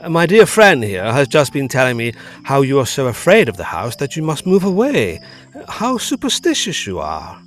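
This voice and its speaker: An actor playing a bold, curious gentleman friend in a period ghost story, theatrical, old-fashioned and inquisitive. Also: medium pitch, wildly swooping pitch, normal speed. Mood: teasing, curious. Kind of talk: period ghost story audio drama acting.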